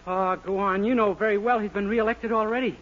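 A man's voice: high voice, fairly even intonation, a little fast, natural voice. An actor playing a naive, eager young man in a 1940s radio comedy, with a guileless, boyish manner. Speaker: comic actor in radio show. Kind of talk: 1940s radio sitcom acting. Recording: very noisy, dull 1940s radio recording.

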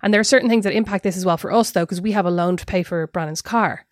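A woman's voice: medium pitch, fast, no echo, studio quality. An Irish woman co-hosting a podcast, chatting in a rambling, self-mocking way. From Ireland. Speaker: podcast co-host. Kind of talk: casual chat.

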